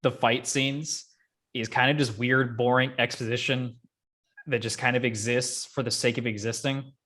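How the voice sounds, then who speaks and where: A man's voice: medium pitch, steady tone, normal speed, fairly bright tone. A young man chatting casually as a podcast co-host, blunt and opinionated, with plenty of swearing. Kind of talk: casual chat.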